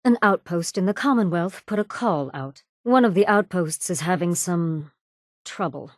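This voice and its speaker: Woman acting a cold, low-voiced female raider boss. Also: animated, no echo, studio quality, close to an everyday voice. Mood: menacing, disdainful. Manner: cool, deliberate, sardonic threatening delivery. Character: cold, menacing female raider gang boss, sardonic and cruel. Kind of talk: video game character dialogue.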